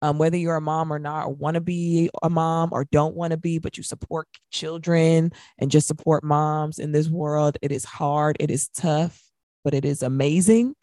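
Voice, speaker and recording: An American woman, roughly in her thirties, co-hosting a radio show with casual talk about music. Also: sits low, fairly even intonation, moderate pace, mellow, no room sound, studio quality.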